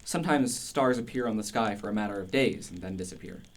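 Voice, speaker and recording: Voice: medium pitch, fast talker, natural voice. An actor playing a passionate, contrarian older philosopher in a radio play, declamatory and emphatic. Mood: fervent.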